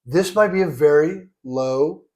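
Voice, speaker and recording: A man's voice: on the high side, a little slow, a little mellow. A man co-hosting a casual chat, earnestly clarifying points and often restarting his sentences. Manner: earnest, stresses being clear, restarts often.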